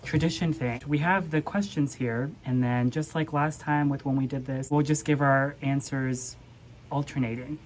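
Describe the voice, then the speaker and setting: A woman's voice: very deep voice, steady tone, moderate pace, a little mellow, a little hiss or hum. A woman co-hosting a book vlog, chatting casually as she reads questions and gives her answers. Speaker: book vlogger co-hosting a Q&A tag. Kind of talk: casual chat.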